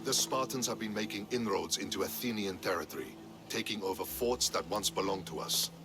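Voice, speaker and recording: A man's voice: lowish voice, fairly quick, music in the background, lightly acted. A man acting a stern, proud and scheming Athenian general in a video game, with a commanding, curt manner. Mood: resolute, urgent. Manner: commanding, curt, self-important. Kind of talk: scripted video game dialogue.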